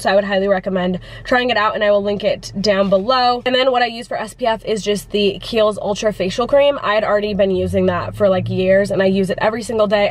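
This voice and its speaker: Congested female vlogger, chatty and filler-heavy, mid pitch. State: congested from the flu. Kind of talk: casual vlog chat.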